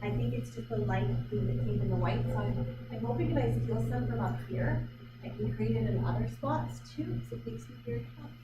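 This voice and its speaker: A woman talks through a painting demo in a chatty, self-questioning way, often stopping on fillers. Her voice is fairly high. She sounds far from the mic in an echoey gallery with loud noise behind her. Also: a little fast. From Vancouver Island, Canada. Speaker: artist leading a painting demonstration. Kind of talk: live painting demonstration, thinking aloud. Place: art gallery.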